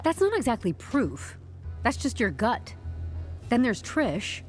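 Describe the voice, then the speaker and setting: An actress playing a composed, stubborn HR newcomer in an audio drama, speaking with deadpan corporate politeness and wry candour. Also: female voice, a little high, slow, soft background music, exaggerated voice. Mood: insistent. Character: composed, stubborn young HR newcomer turning interrogation into interview.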